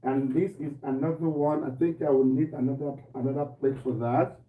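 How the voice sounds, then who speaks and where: A man hosting a live cooking stream from his kitchen, talking casually to viewers with a slightly hoarse voice and repeating himself as he goes. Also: mid-range voice, brisk, far from the mic, phone-quality sound. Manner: relaxed, repeats himself, greets viewers by name. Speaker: cooking show host. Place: home kitchen. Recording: echoey room, voice off-mic, faint noise.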